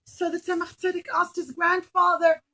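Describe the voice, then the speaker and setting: A woman's voice: extremely high pitch, level tone, moderate pace, sharp tone. A woman preschool teacher telling a story to young children in simple, emphatic phrases, often putting questions to them. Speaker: preschool teacher giving a puppet show.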